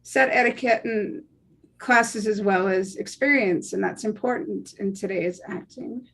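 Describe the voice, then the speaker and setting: A woman from Canada speaks with a medium-pitched voice in a casual, conversational style. She introduces herself as an actor might on an informal video call. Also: measured pace, a bit bright, slightly rough, poor audio. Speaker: actor and writer. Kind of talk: casual self-introduction and chat.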